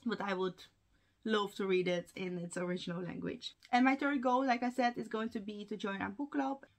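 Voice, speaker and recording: A woman's voice: mid-range voice, normal speed. A young woman whose first language is Russian, talking casually to camera from home about her reading plans, with fillers and small laughs. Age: young adult. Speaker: book vlogger. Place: home room with bookshelves. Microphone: camera framing, seated at desk.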